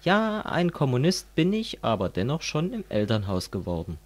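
A middle-aged man from East Germany in a scripted political dialogue, explaining things in a measured way. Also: mid-range voice, wildly swooping pitch. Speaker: dialogue partner in a political audio piece.

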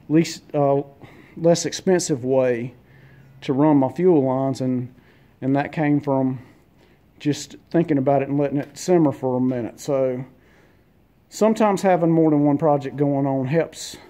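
A man's voice: medium pitch, mellow. A man filming on a handheld phone as he walks around a garage and wooded yard, talking in a casual, rambling way with lots of fillers. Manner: easygoing, rambling, many fillers. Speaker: vlogger and mechanic. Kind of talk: casual walkaround narration.

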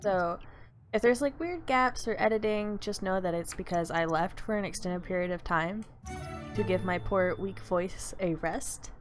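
A woman giving casual, rambling commentary as she plays a life-sim game, her voice slightly raspy and crackly, with many ums and likes. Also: lowish voice, moderate pace, faint music behind. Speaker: gameplay YouTuber.

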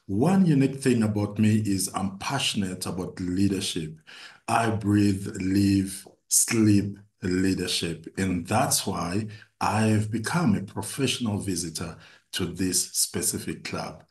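Male club speaker from South Africa, lowish voice, formal and emphatic, on a video call. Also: takes it slowly, a little mellow. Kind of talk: prepared spoken self-introduction.

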